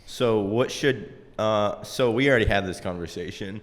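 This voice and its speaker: A man in his twenties hosting a podcast, relaxed and quick to laugh, asking questions into a handheld mic. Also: fairly low pitch, long reverb tail. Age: 20s. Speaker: podcast host. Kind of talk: casual interview chat. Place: room with dark backdrop, seated in a folding chair.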